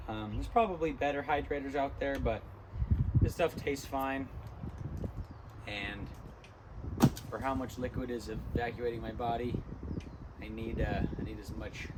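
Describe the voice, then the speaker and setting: A tired, hoarse young man talking casually between lifts in a gym, rambling with many ums. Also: mid-range voice, heavy background noise. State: hoarse, lost voice from illness. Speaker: fitness vlogger. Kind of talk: casual gym vlog talk.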